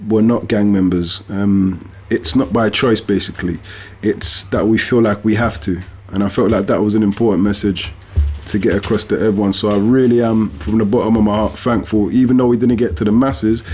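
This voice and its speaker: A man's voice: on the low side, darkish tone. A man speaking earnestly and conversationally as an author hosting a podcast.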